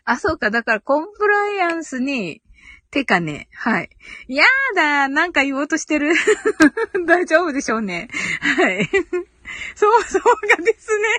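A woman's voice: sits high, very animated, moderate pace, fairly bright tone, dry recording. A woman hosting a casual live audio stream, giggly and thinking aloud as she reacts to listener comments.

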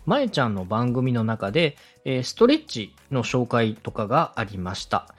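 A male host speaks with animated, widely moving pitch and a slightly rough, husky tone. He coaches politely and methodically, dropping in sample phrases as demonstrations. Faint music plays behind his voice. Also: normal speed. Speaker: radio director and podcast host giving advice. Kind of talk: step-by-step coaching on presenting.

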